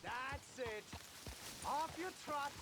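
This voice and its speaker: Game role: menacing gang outlaw, smug false friendliness, raised pitch, very noisy recording with hooves. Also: male voice, drawn-out words, full character voice. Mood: smug menace. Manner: mock-friendly, threatening.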